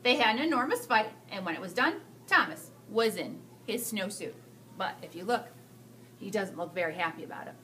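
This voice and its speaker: A woman in her thirties reading a picture book aloud to children, with expressive, drawn-out emphasis. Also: mid-range voice, slight background noise. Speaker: school staff storyteller reading to children. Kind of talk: animated children's read-aloud.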